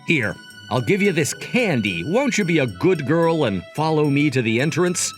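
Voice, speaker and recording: A man's voice: fairly high pitch, very animated, normal speed. An actor playing a smug, logic-obsessed young man who narrates and banters theatrically in a manga dub. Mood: teasing, incredulous. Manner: smug, wordy, theatrical, dramatic asides. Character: cocky, logic-obsessed young male job seeker, teasing romcom narrator. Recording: music behind the voice.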